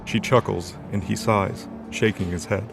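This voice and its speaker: A man's voice: lowish voice, fairly even intonation, measured pace, vocal fry. A man reading scripted narration for a light novel audio drama. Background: background music.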